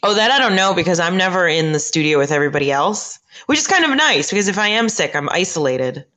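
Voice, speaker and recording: A woman who works as a late-night radio host, chatting as a podcast guest, halting and laughing often as she talks. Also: fairly low pitch, expressive, a bit bright. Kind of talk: casual chat.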